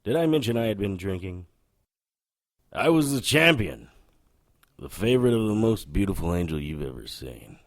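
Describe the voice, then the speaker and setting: A man's voice: sits low, big pitch swings, moderate pace, long pauses. An actor playing a weary, hard-drinking, sardonic male investigator in a noir audio drama. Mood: wry, weary.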